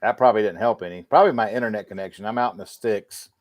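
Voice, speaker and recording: A man's voice: fairly low pitch, very fast, no room sound. A man co-hosting a livestream, chatting casually and using plenty of slang. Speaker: podcast co-host. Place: home in the countryside, on a video call.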